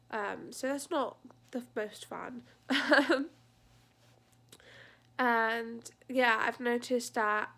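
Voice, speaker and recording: A young woman with a fairly high voice that sounds stuffed up from congestion. She talks in a chatty, informal vlog style, like a casual personal update. Her delivery is unscripted and lighthearted about her own complaints. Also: fairly bright tone. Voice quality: congested, blocked-nose sound. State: congested with a sore throat. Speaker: vlogger.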